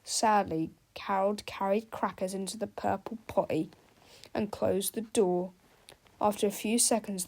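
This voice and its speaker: A woman's voice: medium pitch, darkish tone. A woman narrating a children's storybook as a read-aloud.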